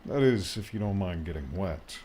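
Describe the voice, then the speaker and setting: A man voicing a brisk, fatherly older man who teases and looks after others. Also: sits low, lively intonation, dark tone, slight background noise. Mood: friendly. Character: older man, gruff caring guardian.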